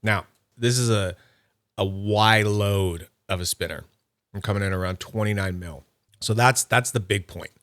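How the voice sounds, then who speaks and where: A man with a slightly low voice talks casually through an unboxing, thinking aloud as he handles each item. He sounds nerdy and upbeat. The sound is close and dry, with no room echo. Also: moderate pace, a bit bright. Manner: chatty, thinks aloud while handling items. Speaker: YouTube fidget toy reviewer.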